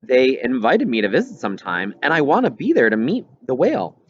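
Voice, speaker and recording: Sulky young girl, animated read by a man. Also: medium pitch, fairly quick, breathy edge, no echo, pitched above the performer's own voice. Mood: disappointed, sarcastic. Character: disappointed, sulky young girl narrator who loves whales. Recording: dull, lo-fi recording.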